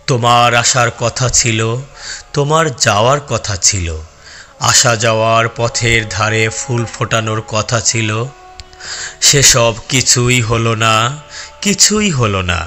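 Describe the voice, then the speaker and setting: A man reciting a poem in a solemn, melancholic manner, slowly and deliberately with long pauses. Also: mid-range voice, bright, forceful tone. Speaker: poetry reciter. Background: music.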